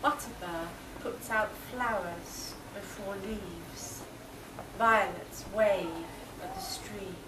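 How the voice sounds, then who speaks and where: A middle-aged woman reading poetry aloud to a live gathering in short, measured phrases. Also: medium pitch. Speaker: poetry reader. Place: marquee with a small seated audience. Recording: distant, echoey, very noisy live recording.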